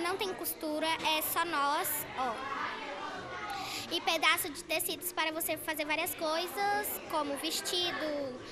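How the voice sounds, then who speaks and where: Very high-voiced student telling a story. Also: female voice. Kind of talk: interview answer.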